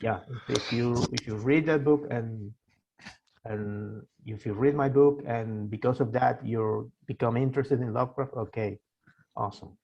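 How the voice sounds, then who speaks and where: Gravelly man in his sixties, Spanish native. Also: mid-range voice, a little mellow, dull audio. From Argentina. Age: 60s. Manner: halting, many fillers and restarts. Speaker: guest author. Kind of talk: casual interview.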